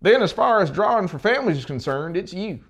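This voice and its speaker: A man's voice: high voice, expressive, a little fast, fairly bright tone, dull audio, voice pushed up, full character voice. A man voicing a folksy, businesslike small-town official who gives commands in an audiobook.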